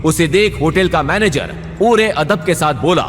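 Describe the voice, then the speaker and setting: A storytelling narrator played by a man with a fairly high male voice. He delivers a scripted romantic audio drama dramatically, with clear music underneath. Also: moderate pace, a bit bright, close to an everyday voice. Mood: dramatic.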